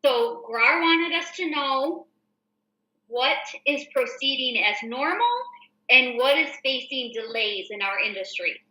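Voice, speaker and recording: American female host, very bright voice, informal and explanatory, recorded in an echoey room. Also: high voice, a little slow. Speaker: show host and real estate agent. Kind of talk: casual reading of a newsletter with commentary.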